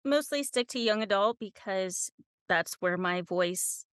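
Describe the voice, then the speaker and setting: A woman's voice: mid-range voice, expressive, unhurried, a bit bright, smooth, no room sound, clean studio sound. A woman with a very young-sounding voice, an audiobook narrator chatting informally as an interview guest and giggling often. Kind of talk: casual conversational interview.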